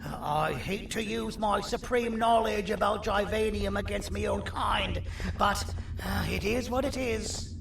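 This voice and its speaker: An actor playing a vain, sardonic four-armed creature surgeon in a video game, with a gravelly, theatrical, bossy delivery. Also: male voice, high voice, a bit bright, full character voice. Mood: scheming, pleased. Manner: wry, theatrical, self-important. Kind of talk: video game character dialogue. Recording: roomy echo, distant, faint noise.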